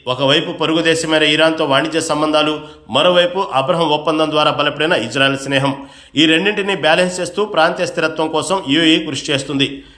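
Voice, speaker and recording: Male news narrator, slightly high pitch, formal emphatic scripted read, noticeable room echo. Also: bright, forceful tone.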